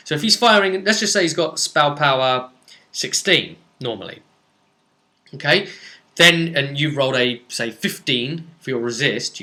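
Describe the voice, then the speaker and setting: A man's voice: on the high side, bright, forceful tone. A man giving a casual, unscripted tabletop rules explanation, chatty and emphatic, thinking aloud and checking in with the listener. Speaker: tabletop game explainer answering a subscriber.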